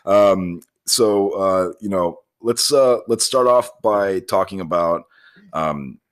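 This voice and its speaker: An American man hosting a casual comedic podcast, halting and hesitant as he explains things. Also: low-pitched. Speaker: podcast host.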